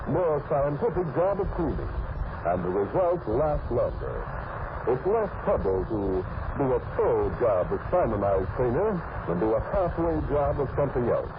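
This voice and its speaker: A man reading a scripted vintage radio commercial as announcer, polished and emphatic, on a muffled, noisy recording. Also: mid-range voice.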